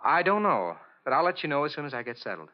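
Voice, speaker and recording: A man's voice: fairly high pitch, very animated, fast. An actor playing an earnest, anxious young man with amnesia in 1940s radio style, speaking hesitantly as he explains himself. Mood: earnest, uneasy. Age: young adult. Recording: muffled old radio recording.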